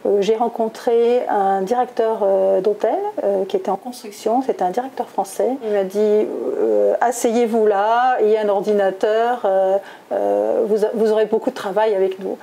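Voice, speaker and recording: A woman's voice: medium pitch, steady tone, normal speed, gravelly, warm tone, a little hiss or hum. A middle-aged woman from southwest France answering interview questions conversationally, with many hesitations and self-corrections. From Toulouse, southwest France. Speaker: interviewee, executive recruiter.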